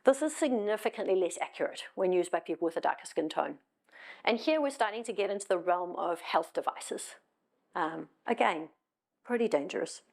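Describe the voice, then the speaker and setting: A woman's voice: fairly low pitch, lively intonation, moderate pace, gravelly. A middle-aged woman from South Africa with a South African accent, giving a conversational talk on stage with wry asides and frequent ums. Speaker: conference speaker. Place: stage in a hall.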